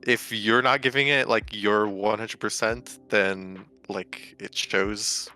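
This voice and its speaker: A man's voice: on the low side, fairly even intonation, takes it slowly, bright, forceful tone. A young American man, a guest on the show, chatting casually and rambling with lots of "like" and "um", Romanian spoken at home. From United States. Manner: filler-heavy, loose and rambling. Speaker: guest, professional GeoGuessr player. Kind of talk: casual chat.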